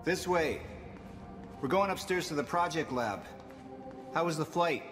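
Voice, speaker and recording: Game cinematic: confident, secretive scientist, smooth persuader with earnest delivery, music underneath. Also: male voice, a little high, animated, unhurried. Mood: friendly, teasing. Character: confident, persuasive male scientist and old friend, driven and secretive. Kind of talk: cinematic video game acting. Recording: music behind the voice.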